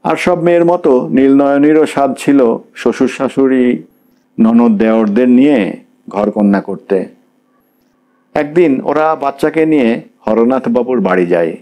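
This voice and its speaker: Brief: male audiobook narrator, measured and steady, warm darker tone. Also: mid-range voice. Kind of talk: scripted story narration.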